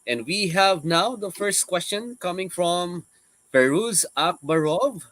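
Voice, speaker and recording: A man's voice: high voice, animated, slow, a bit bright, no echo, poor audio. A man hosting a webinar Q&A, reading out questions with hesitant fillers. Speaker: webinar host, moderator.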